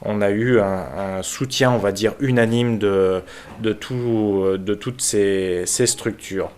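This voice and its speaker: A man from France who is a local mayor, giving an unscripted explanation in a halting, hesitant manner with frequent pauses. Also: on the low side, steady tone, a little mellow. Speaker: village mayor and local councillor.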